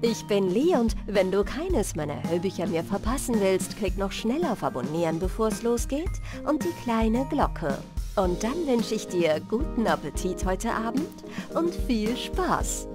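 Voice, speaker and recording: A woman in her thirties reading a bedtime fairy tale as an audiobook host, with unhurried, expressive storytelling, close on a studio microphone. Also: mid-range voice, music in the background. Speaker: audiobook reader and channel host. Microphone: large studio microphone, close.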